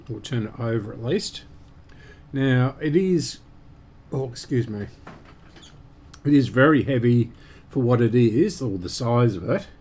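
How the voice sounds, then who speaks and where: A man giving unscripted, halting commentary as host of a repair stream, muttering to himself while he works. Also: mid-range voice, darkish tone, slight background noise.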